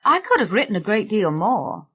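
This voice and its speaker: A woman's voice: medium pitch, very animated, moderate pace, husky, voice pushed up. Narrator lady as a gossipy young newswoman, confiding and chatty, audiobook, slightly muffled. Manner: confiding, conversational. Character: chatty, gossipy young female newspaper reporter.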